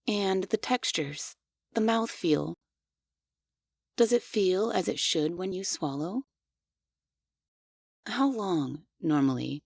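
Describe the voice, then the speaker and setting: A woman voicing a devoted, obsessive female android maid in an audio roleplay, speaking softly and formally with quietly unsettling questions. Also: fairly low pitch, vocal fry, no room sound, clean studio sound, heavily acted. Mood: probing. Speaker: voice actress.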